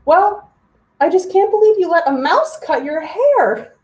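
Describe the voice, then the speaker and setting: A woman's voice: extremely high pitch, big pitch swings, a little slow, darkish tone, voice pushed up, heavily acted. A woman voicing a cheeky, modest young man in a storybook, cheerful and polite. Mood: playful. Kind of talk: storybook character voice.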